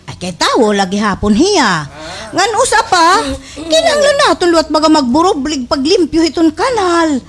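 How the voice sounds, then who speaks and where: A woman's voice: very high voice, very animated, moderate pace, slight background noise. An actress playing a scheming village woman who feigns saintly helpfulness in a slapstick radio drama, with a Waray accent and exaggerated delivery. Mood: sweetly pretending.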